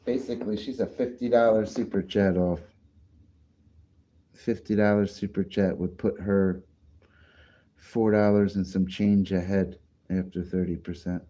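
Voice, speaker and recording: A man with a low, mellow voice talks slowly and loosely on a late-night livestream. He rambles from one thought to the next, drinking and smoking between lines, and sounds tired. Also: steady tone, lo-fi sound. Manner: rambling, slow and drawn-out, drinking and smoking on stream.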